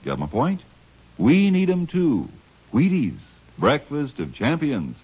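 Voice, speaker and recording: A man with an American accent giving an emphatic, folksy advertising pitch in 1950s radio style. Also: huge pitch swings, slow, a little mellow, breathy edge. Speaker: commercial announcer. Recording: dull old radio recording.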